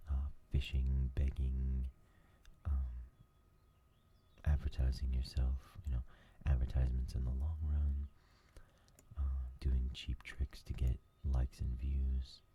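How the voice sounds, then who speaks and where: A man hosting a new ASMR gaming channel, talking in a soft whisper and rambling with lots of fillers. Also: a bass voice, completely flat delivery, slow, highs cut off, slight background noise.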